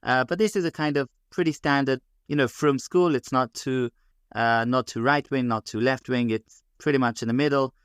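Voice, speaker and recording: A middle-aged man from London with a London English accent, speaking as a guest rabbi in a podcast interview, measured and full of fillers and restarts. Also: medium pitch, steady tone, smooth, no echo, studio quality. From England.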